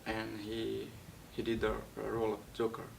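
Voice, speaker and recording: A young man from Nepal, a guest actor, answering interview questions in a halting way with many fillers and restarts. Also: monotone, vocal fry. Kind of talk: casual interview. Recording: distant mic with faint rumble.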